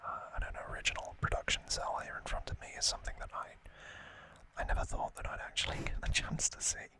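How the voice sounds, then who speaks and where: A man hosting an unscripted ASMR unboxing in a breathy whisper, speaking in short, halting phrases with pauses. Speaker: ASMR video host.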